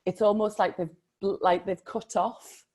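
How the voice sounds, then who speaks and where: A woman's voice: medium pitch, fairly even intonation, unhurried, a little mellow. A woman coach in a casual conversation about a book, speaking reflectively and with emphasis, often laughing.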